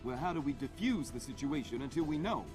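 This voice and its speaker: An actor playing an anxious, indignant American president in a video game cutscene. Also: male voice, mid-range voice, animated, a little fast, close to an everyday voice. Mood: concerned. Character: anxious, indignant male President of the United States. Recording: distant, under loud music.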